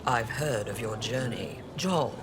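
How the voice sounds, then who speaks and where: An actor playing a composed, guarded alien governor in a video game, speaking in a measured, formal and curt way. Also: male voice, medium pitch, natural voice. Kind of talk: video game dialogue acting. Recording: game dialogue over noisy ambience.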